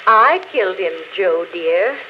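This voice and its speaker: An actress playing a composed, sweetly condescending murderess confessing on a phonograph record in an old-time radio drama. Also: female voice, medium pitch, lively intonation, a little slow, dull audio, a little hiss or hum. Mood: falsely apologetic. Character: coolly confessing murderess, a dead woman's recorded voice. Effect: phonograph record playback.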